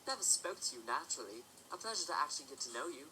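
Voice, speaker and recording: A man's voice: a little high, big pitch swings, very fast, sharp tone, a little hiss or hum, full character voice, low-quality recording. A man voicing a courteous adult unicorn guard with a formal, dry manner in a fan audio drama. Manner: formal, polite, dry wit.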